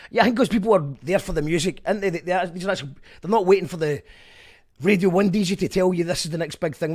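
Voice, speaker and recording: A man, a radio host and band musician chatting casually in an animated, rambling way, often correcting himself. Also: fairly high pitch. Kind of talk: casual chat.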